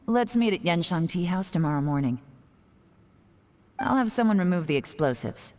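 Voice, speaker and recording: A woman's voice: lowish voice, lively intonation, moderate pace, darkish tone, lightly acted. A woman voicing a shrewd, cool, commanding game character with a composed, knowing and cutting delivery. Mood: cool, businesslike. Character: shrewd, cool, commanding woman who sees through lies. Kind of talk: video game voice acting. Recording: long reverb, music behind.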